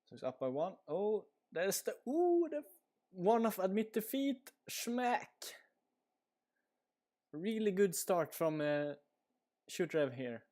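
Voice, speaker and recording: A man's voice: high-pitched, very animated, slow, pauses often, dark tone. Casual man commentating a card game. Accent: Swedish. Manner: casual, rambling, frequent uh fillers. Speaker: stream commentator. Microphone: headset.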